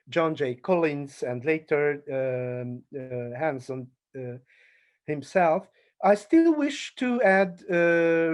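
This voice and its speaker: Halting Hungarian-accented academic man. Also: fairly high pitch, a little mellow. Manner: slow, halting delivery with frequent filler pauses. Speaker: conference speaker. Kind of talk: academic paper presentation.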